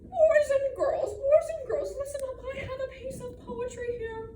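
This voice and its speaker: A woman puts on a pompous, fussy aunt calling children to order in a stage monologue. Her voice is high and halting, full of repetition and throat-clearing. The room echoes around her. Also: dark tone. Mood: officious. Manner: repeats herself, constantly clears throat, pompous. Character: fussy, officious club-president aunt addressing children. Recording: roomy, distant mic, faint noise.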